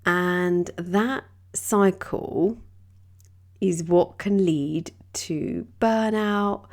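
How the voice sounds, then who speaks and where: Slow, soft-spoken, slightly croaky woman. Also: fairly low pitch. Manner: soft, gentle, unhurried delivery with drawn-out phrases. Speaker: yoga and wellbeing podcast host. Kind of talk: reflective wellbeing talk.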